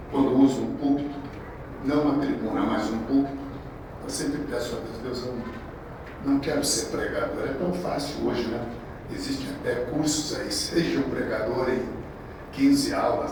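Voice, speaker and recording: Man in his sixties, preacher, conversational sermon, far from the mic, loud hall echo. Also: mid-range voice. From Brazil. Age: 60s. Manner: conversational, anecdotal, with frequent restarts. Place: large echoey hall. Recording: echoey hall, distant mic, background noise.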